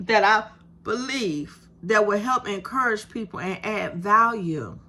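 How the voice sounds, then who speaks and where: A woman in her fifties with a soft voice, talking straight to her viewers in a casual, encouraging way and calling them "baby". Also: medium pitch, unhurried. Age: 50s. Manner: animated, encouraging, talks to viewers with "baby" and "you hear me". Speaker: YouTube creator. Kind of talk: casual direct-to-camera talk.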